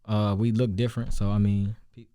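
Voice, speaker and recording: Young male rapper guest, deep low voice, Chicago accent, loose rambling delivery, dry close studio sound. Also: flat delivery, brisk, mellow, clear, resonant tone, no echo. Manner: loose, rambling, with many restarts and fillers. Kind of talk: casual interview chat.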